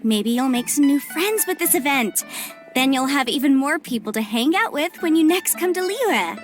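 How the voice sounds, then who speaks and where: Young female legal adviser, high voice, breezy, articulate, encouraging, game acting, music behind. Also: animated, normal speed. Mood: reassuring. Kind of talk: animated game character acting.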